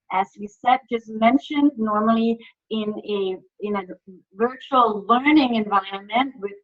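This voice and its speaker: A woman from Denmark, a workshop facilitator presenting a webinar over a video call, chatty and asking the audience questions. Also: fairly high pitch. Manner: chatty, restarts often, lively questions to the audience. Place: home. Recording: slightly muffled video call audio.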